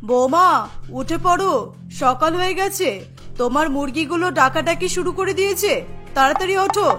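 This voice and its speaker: Woman playing a high-pitched village mother-in-law. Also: lively intonation, moderate pace, over music. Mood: urging. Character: older village mother-in-law waking daughter-in-law.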